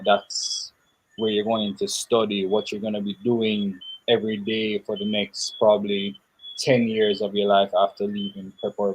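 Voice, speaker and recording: A young man, answering interview questions in a thoughtful, measured way with pauses. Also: on the low side, husky, rough recording. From Jamaica. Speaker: interview guest.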